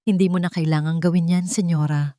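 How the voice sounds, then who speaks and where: A woman's voice: on the low side, steady tone, fairly quick, warm tone, no echo, natural voice. Female narrator playing brave Filipina detective, firm and authoritative, audio drama story, dry close sound. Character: brave, firm young policewoman detective undercover as maid.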